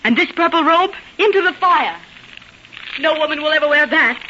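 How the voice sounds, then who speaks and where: A scorned, imperious society lady in old radio melodrama. Her voice is very high and sweeps dramatically up and down. The sound is dull, with faint background noise. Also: animated, moderate pace, pushed and bright. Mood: vengeful, dramatic. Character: proud, theatrical, scorned society woman in love. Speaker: actress in a radio play. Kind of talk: old-time American radio drama acting.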